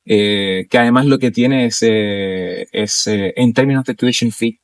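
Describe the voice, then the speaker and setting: A man's voice: lowish voice, measured pace, no echo, distant mic. A young man from Chile with a Chilean Spanish accent, talking as an interview guest in a casual, hesitant way with many fillers and restarts. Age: young adult. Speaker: interview guest, doctoral student.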